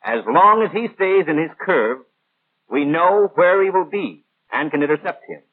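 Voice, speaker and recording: A precise, patient instructor, lectures in a formal 1940s training-film manner. The voice is high and husky with animated intonation, on a very narrow, tinny old soundtrack. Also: male voice, moderate pace. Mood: explanatory. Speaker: instructor narrator.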